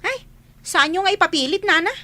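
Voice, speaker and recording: A woman's voice: very high voice, animated, a little fast, pushed and bright, heavily acted. A woman with an Ilocano accent playing a sassy, resentful office worker in a radio comedy drama. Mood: snippy.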